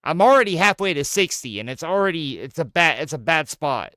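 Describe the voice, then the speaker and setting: A man in his thirties bantering as a gaming streamer, his voice swinging up and down with lots of life. The tone is bright and clean, and the sound is very dry and close, with no room around it. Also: fairly high pitch, fairly quick, no echo. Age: 30s. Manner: joking, profane, animated banter. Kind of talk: casual chat while gaming.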